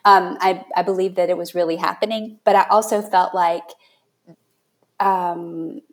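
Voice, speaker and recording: A woman hosting a conversational book interview, speaking in a halting, thoughtful and effusive way. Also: lowish voice, steady tone. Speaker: podcast host.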